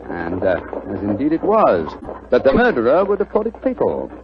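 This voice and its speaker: A confident English detective with a highly animated, theatrical delivery. He sounds superior and brisk, on a dull, clearly noisy old radio recording. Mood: frustrated, excited. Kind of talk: 1940s radio acting.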